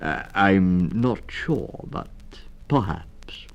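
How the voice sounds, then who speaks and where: A man's voice: sits low, animated, extremely slow, vocal fry, in a whisper, dull audio, heavily acted. A man voicing a kindly, hesitant toad in a storybook, repeating his words and rambling, on a 1960s story record. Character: Mister Toad, kindly hesitant old male toad adviser. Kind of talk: storybook character reading.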